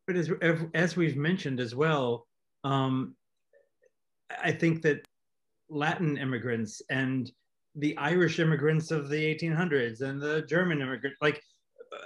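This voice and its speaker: Man, medium pitch, theatre host, conversational, polite, halting delivery. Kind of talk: conversational post-show discussion.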